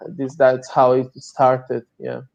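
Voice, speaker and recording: A man's voice: medium pitch, completely flat delivery, slow pace, vocal fry, darkish tone. A man in his early thirties with a Colombian accent, answering casually as an interview guest. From Colombia, Bogota. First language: Spanish. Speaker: interview guest, DevOps engineer. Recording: dull lo-fi online call sound.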